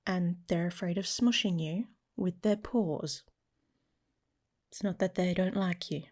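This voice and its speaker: A woman voicing a protective, teasing werewolf mate in an intimate ASMR romance roleplay, speaking softly and casually, often slipping into a whisper. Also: on the low side, unhurried. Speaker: audio roleplay performer.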